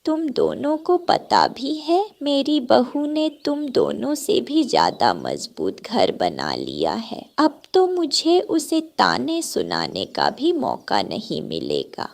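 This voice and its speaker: A spiteful old mother-in-law bird from a cartoon, acted by a woman. She nags with scorn in a creaky, slow voice that swings wildly up and down, always scheming. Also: a little high, wildly swooping pitch, full character voice. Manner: nagging, scornful. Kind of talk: Hindi cartoon voice acting.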